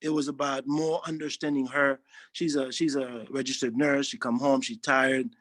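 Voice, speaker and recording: A man's voice: medium pitch, flat delivery, fairly bright tone, no echo. A man, speaking earnestly in a testimonial interview and often restarting his sentences. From Haiti. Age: 40s. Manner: earnest, halting, frequent restarts. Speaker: interviewee, social worker.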